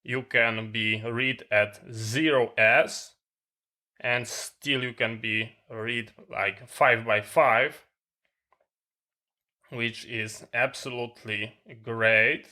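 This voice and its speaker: A man with a non-native English accent informally demonstrating gear as a tech vlogger, talking in short, halting phrases. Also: medium pitch, fairly even intonation, sharp tone. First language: not English.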